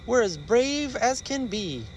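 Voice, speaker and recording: A small, timid baby bear from a children's storybook, acted out by a man. The voice sits high and whimpers earnestly, with faint noise behind it. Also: big pitch swings, slow, heavily acted, low-quality recording. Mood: proud.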